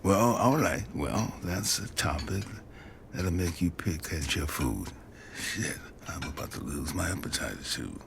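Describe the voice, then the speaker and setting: Very deep-voiced weary father. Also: animated, measured pace, creaky, heavy background noise, exaggerated voice. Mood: gruff concern. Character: weary, plainspoken father, haunted by his failings. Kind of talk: audio drama acting.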